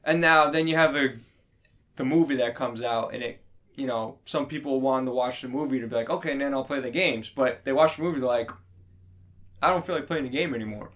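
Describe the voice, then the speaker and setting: A man's voice: mid-range voice, fairly quick, a bit bright. Male podcast host in casual discussion. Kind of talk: casual group podcast chat.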